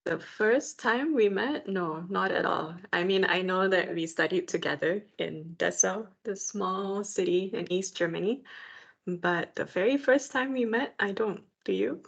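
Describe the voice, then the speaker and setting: A woman's voice: mid-range voice, unhurried, creaky. A young adult woman from Malaysia co-hosting a podcast in a casual, conversational style. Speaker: podcast co-host. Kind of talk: casual chat.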